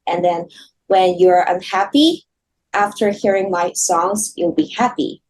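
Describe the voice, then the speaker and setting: A woman from Hong Kong talking as an interview guest over a video call, soft-spoken and halting, often laughing. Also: on the low side, slight background noise, low-quality recording. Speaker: guest singer. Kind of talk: casual interview chat.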